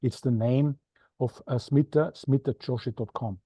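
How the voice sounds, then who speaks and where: A man's voice: medium pitch, steady tone, moderate pace, mellow, breathy edge, no echo. A man with an Austrian accent hosting an interview podcast, chatty and a little self-deprecating as he signs off. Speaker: podcast host. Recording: dull, dry lo-fi sound.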